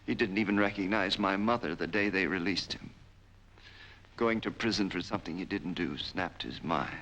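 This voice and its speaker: A man's voice: deep voice, moderate pace, a bit bright. An actor playing a cold, commanding American man bent on revenge in a 1940s film noir. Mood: bitter, intense. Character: vengeful, menacing man hunting his father's betrayer. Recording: noisy 1940s film soundtrack.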